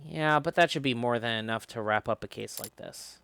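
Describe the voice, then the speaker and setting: A man playing a guarded, courteous police detective in a visual novel, measured, understated and hesitant. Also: medium pitch, creaky, natural voice. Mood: guarded, polite. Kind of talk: acting out visual novel dialogue on stream. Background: loud game music.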